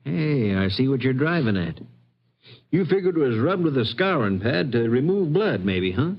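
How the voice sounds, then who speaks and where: An actor playing a folksy, inquisitive small-town sheriff in a vintage radio western. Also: male voice, mid-range voice, very animated, normal speed. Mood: curious. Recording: muffled, noisy vintage radio recording.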